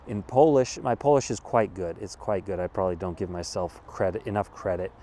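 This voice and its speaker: Deep-voiced American man musing outdoors. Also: warm tone, a little hiss or hum. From California, USA. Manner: thoughtful, measured, with pauses and restarts. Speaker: vlogger. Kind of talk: casual reflective monologue. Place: outdoors in a wooded city spot.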